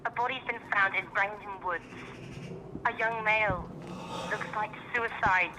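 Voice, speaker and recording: An actress playing an urgent intelligence colleague reporting over a phone in a radio drama. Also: female voice, medium pitch, measured pace. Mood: grave, urgent. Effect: phone call filter. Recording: muffled phone line with clear noise.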